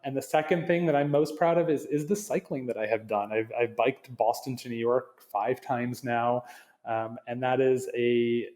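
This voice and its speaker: A man's voice: medium pitch, darkish tone. Earnest man with slight Canadian accent. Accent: slight Canadian with New England touches. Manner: earnest, restarts phrases often. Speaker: guest, marketing executive. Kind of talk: casual interview chat.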